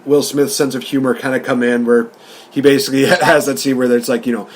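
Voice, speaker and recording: A man's voice: mid-range voice, fairly even intonation, fairly quick. A man co-hosting a movie review show in a casual, conversational style.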